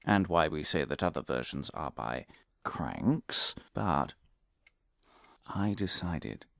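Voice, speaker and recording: Pompous low-voiced professor, swooping delivery. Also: male voice, lively intonation, takes it slowly, creaky, a bit bright, no room sound, studio quality, exaggerated voice. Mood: earnest, self-justifying. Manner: pompous, theatrical, digressive, emphatic. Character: earnest, pompous, muddled male academic with conspiracy obsession. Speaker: lecturer. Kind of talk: comic monologue delivered as a scholarly lecture.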